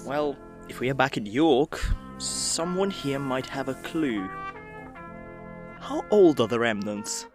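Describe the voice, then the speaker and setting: Earnest wary sidekick woman, low voice, really animated, sci-fi radio play. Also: slow pace, music in the background, exaggerated voice. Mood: bewildered. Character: earnest, indignant, wary companion in a sci-fi adventure. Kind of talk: audio drama acting.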